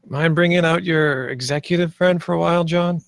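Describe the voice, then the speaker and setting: A man's voice: fairly high pitch, steady tone, brisk, creaky, clear, resonant tone, no echo, clean studio sound. A man playing an earnest, idealistic theme park planner in a screenplay table read, a little hesitant. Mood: friendly. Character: earnest, idealistic male theme park planner named Marty, nervous, visionary.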